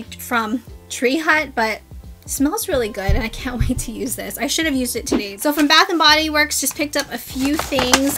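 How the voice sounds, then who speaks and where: A woman's voice: high-pitched, pushed and bright. A young woman vlogger chatting casually about beauty products she bought, upbeat and gushing, recorded at her desk at home. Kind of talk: casual haul chat. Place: home filming room with vanity and desk.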